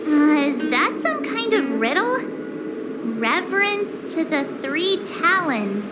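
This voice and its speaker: An actress voicing a chatty, curious young girl who talks in a lively, upbeat way. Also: sits high, slow pace, loud music behind the voice, exaggerated voice.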